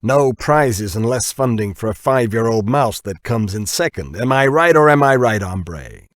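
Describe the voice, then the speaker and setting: A man's voice: mid-range voice, lively intonation, normal speed, no echo, studio quality, voice pushed up, close to an everyday voice. Man acting a blunt, confrontational company boss. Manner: confrontational, slangy, swearing. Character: blunt, greedy American male biotech company boss. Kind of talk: audiobook character dialogue.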